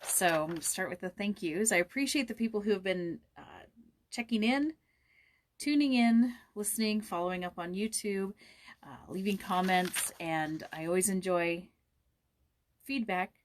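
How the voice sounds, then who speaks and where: Woman, medium pitch, casual read-aloud host, informal, conversational, thinking aloud. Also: normal speed. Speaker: host and audiobook narrator.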